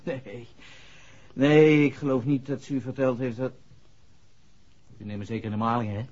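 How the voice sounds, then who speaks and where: A dull-sounding 1960s radio drama features an actor playing a young male playboy star. His voice is husky and mid-range. He grumbles and hedges, hesitant and indignant. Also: medium pitch, steady tone, normal speed, darkish tone, close to an everyday voice. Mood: defensive. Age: young adult.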